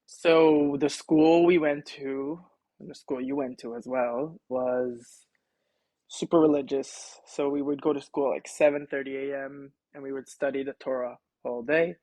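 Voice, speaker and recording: A young man from Israel, a podcast guest, telling his story in relaxed casual talk with wry asides and many fillers, recorded on his own mic at home. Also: medium pitch, unhurried, dry recording, poor audio. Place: home setup, self-recording.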